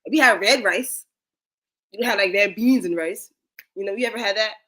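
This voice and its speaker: A woman's voice: medium pitch, moderate pace. A young woman with a South Carolina Geechee accent, talking in a casual, slangy way as a guest commentator. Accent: Gullah Geechee, South Carolina. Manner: animated, slangy, many "like" fillers. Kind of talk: casual chat.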